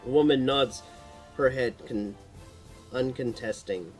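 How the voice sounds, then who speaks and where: A man's voice: medium pitch, slow pace, faint music behind. A man narrating a supernatural horror story in a dramatic read-aloud style, sometimes stumbling over the text.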